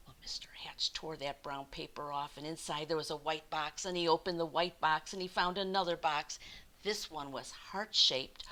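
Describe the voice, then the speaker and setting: A woman reading a picture book aloud to children, expressively and with deliberate emphasis. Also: lowish voice, pushed and bright. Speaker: children's storyteller.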